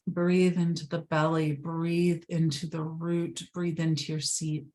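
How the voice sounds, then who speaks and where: A woman leading a guided meditation, speaking slowly and soothingly, sometimes dropping to a whisper. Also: low voice, level tone, darkish tone. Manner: slow, soothing, drifts into whispers.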